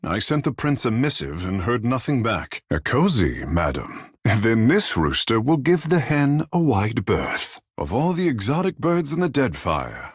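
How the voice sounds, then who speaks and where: A man playing a vain, flirtatious, sea-blessed godlike artist in a video game, husky and theatrical, with florid, playful delivery. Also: fairly low pitch, no room sound, studio quality, exaggerated voice. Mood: flirtatious, teasing. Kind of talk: video game character dialogue.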